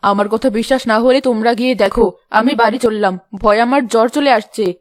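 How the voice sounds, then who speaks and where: A woman's voice: a little high, fairly even intonation, quick pace, no echo, lightly acted. A woman voicing a superstitious, easily frightened village woman in a cartoon, dramatic and fretful. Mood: anxious. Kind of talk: cartoon voice acting.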